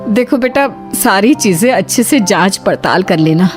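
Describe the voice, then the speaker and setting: A woman's voice: medium pitch, lively intonation, normal speed, soft background music, natural voice. A woman playing a worried, protective middle-aged mother in a cartoon story, fussing and cautioning. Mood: wary. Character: Sushila, worried protective mother of grown son. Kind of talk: dramatised Hindi cartoon story dialogue.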